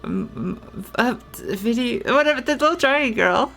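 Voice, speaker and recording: Slow, rambling woman game streamer. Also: a little high, sing-song, a bit bright, faint music behind. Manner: hesitant, rambling, self-correcting. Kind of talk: casual playthrough commentary, thinking aloud.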